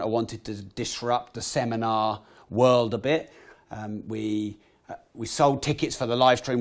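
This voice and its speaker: Croaky man telling stories. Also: mid-range voice. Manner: animated, swears casually. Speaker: guest entrepreneur. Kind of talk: podcast interview storytelling.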